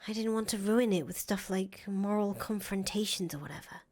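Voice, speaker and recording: Lady doing a timid needy young woman from a visual novel, breathy and kinda whispery, medium pitch. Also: a little fast, darkish tone, natural voice. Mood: regretful, pleading. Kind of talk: acted visual novel dialogue.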